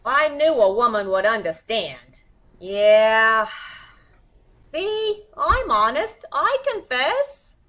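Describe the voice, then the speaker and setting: A woman performs a lovesick, hot-headed female crook from an old-time radio mystery. She talks slangy and melodramatic, drawing her words out at an unhurried pace. Her pitch leaps all over. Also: big pitch swings, bright, forceful tone, full character voice. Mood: sheepish, pleading. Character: cute, tough, lovesick female would-be killer, excitable gangland moll.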